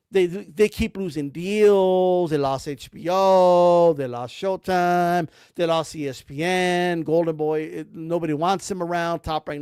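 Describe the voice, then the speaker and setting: A man's voice: sits high, darkish tone, dry recording. A man giving blunt, rambling commentary about boxing, full of slang and cursing. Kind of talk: casual ranting commentary.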